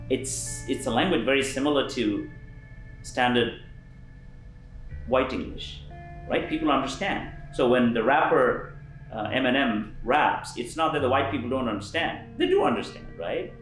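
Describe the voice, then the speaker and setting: A man being interviewed as a linguist, explaining thoughtfully with frequent pauses and restarts and lively emphasis. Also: medium pitch, reverberant, faint music behind, rough recording.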